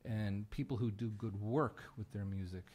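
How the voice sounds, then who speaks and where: A man's voice: on the low side, normal speed, a little mellow, breathy edge. A man, a guest folk musician, talking casually and earnestly in a plain-spoken way as he introduces a song. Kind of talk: casual talk introducing a song at a live show.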